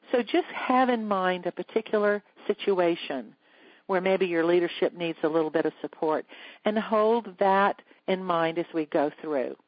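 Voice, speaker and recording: A woman's voice: fairly low pitch, dry recording, dull audio. Earnest woman hosting a teleseminar. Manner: measured, earnest, scripted delivery. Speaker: leadership coach and seminar host. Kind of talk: teleseminar presentation.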